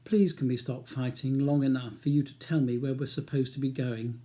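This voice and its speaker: A man's voice: mid-range voice, steady tone, normal speed, mellow, lightly acted. A man reading an audiobook as a weary, defensive barrister husband with a wry, ironic manner. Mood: pleading. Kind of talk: audiobook character dialogue.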